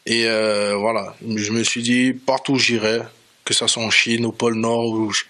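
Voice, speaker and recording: Man from french guiana, radio interview guest, bright tone, plain relaxed talk. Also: lowish voice, normal speed. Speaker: reggae dancehall artist guest. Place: radio studio.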